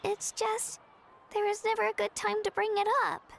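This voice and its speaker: A woman voicing a tiny, childlike, excitable fairy girl with a squeaky voice in an anime game. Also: moderate pace, a little hiss or hum. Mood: sheepish. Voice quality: squeaky, creaky. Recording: music playing behind the voice.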